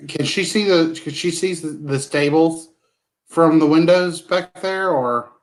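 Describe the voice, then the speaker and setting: Male player, mid pitch, hesitant and questioning, describes what his character does. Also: unhurried. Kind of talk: tabletop game discussion.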